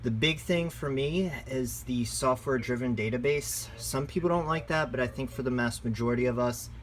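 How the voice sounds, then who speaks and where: A man's voice: mid-range voice, moderate pace. Man reviewing gadgets casually over background noise. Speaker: product reviewer and radio shop presenter. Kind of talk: casual product review, unscripted.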